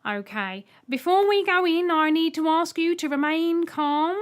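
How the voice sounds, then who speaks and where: A high, emphatic little male robot, acted out by a woman narrator. He is a bossy, showy guide in a storybook, projecting his words with jumpy energy. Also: a little slow. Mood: warning.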